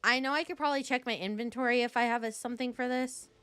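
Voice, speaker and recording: A woman's voice: fairly high pitch, quick pace, fairly bright tone, clear, resonant tone, dry recording. A woman giving casual, chatty live commentary as she plays a video game, thinking aloud to her viewers.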